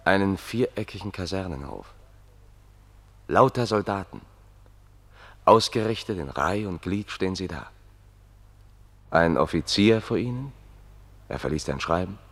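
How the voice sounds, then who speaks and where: An actor in a German radio play as an attentive guide who describes things in a measured, deferential way. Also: male voice, low-pitched, animated, a bit bright, heavily acted. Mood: calm, observant. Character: attentive male guide, quietly describing the world to His Excellency.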